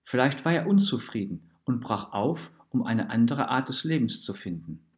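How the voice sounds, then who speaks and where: A man reading a literary, essayistic story as a narrator, in an expressive and deliberate manner. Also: mid-range voice.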